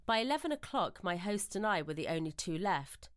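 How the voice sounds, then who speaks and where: A woman reading scripted ghost-story narration in a measured, literary, suspenseful manner, as in a radio drama. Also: medium pitch, big pitch swings, dry recording, studio quality.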